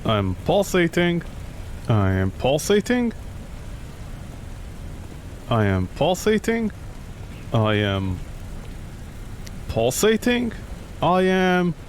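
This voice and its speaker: A man's voice: sits high, slight background noise. A man doing live game commentary, talking to himself in a sarcastic, exclamatory way. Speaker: gaming streamer.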